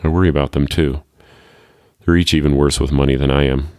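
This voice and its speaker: A man reading a first-person short story as a world-weary, wryly fatalistic parent who works as a security guard, in a deadpan, measured way. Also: rumbling low, dry recording, studio quality. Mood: wry, resigned.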